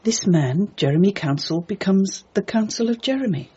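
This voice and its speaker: A woman acting a regretful, earnest mother confessing to her son in a radio drama, speaking haltingly. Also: mid-range voice, expressive, warm tone, breathy edge, highs cut off.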